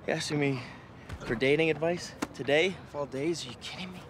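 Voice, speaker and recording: A young man with an American accent playing a cocky, teasing high school jock with casual delivery in a comedy film. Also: a little fast, natural voice. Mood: incredulous. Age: young adult. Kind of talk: naturalistic film comedy dialogue. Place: open outdoor sports field. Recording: outdoor film sound, clearly noisy background.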